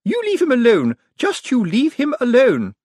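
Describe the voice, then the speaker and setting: A man's voice: extremely high pitch, wildly swooping pitch, moderate pace, darkish tone, dry recording, studio quality, voice pushed up, exaggerated voice. A man voicing an indignant, fiercely protective woman in an audiobook, outraged and emphatic.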